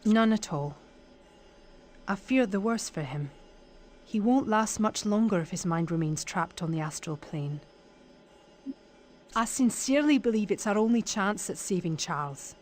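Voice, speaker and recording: A woman's voice: mid-range voice, animated, a little slow, pauses often, close to an everyday voice. An actress playing an earnest, caring woman in a video game cutscene, speaking sincerely and solemnly with grave concern. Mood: worried, solemn.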